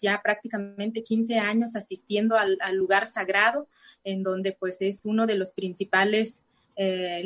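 A woman's voice: medium pitch, a bit bright. Hesitant woman speaking Spanish. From Nayarit, Mexico. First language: Cora (Náayeri). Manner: hesitant, many fillers and restarts. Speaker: interview guest. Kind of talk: personal testimony.

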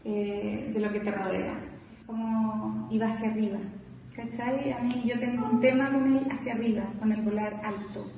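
Chilean woman painter, 40s, gravelly mellow voice, reflective, heard from afar in a roomy hall. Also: on the high side, fairly even intonation, normal speed, slight background noise. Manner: soft, reflective, many hesitant fillers. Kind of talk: explaining her own painting. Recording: echoey hall from far off.

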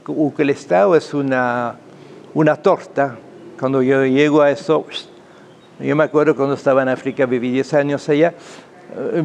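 Man, gravelly creak, interview guest, halting, emphatic, repetitive, faint background noise. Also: medium pitch, a little mellow. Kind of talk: spoken interview, explanatory opinion.

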